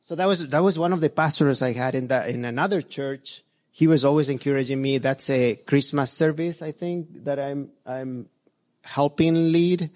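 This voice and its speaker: A middle-aged church elder from Chile speaks to his congregation in an earnest, halting way. He stops often on fillers and starts his sentences over, and his voice sits at an ordinary pitch for a man. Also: darkish tone. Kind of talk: church reflection talk.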